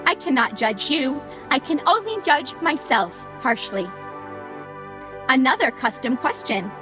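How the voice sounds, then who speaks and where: Woman playing a high, chirpy computer program. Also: big pitch swings, a little slow. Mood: earnest. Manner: formal, contraction-free, cheerfully officious speech. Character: chirpy female computer program greeting the digitized dead, unsettlingly honest. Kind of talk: scripted audio drama acting. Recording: music behind the voice.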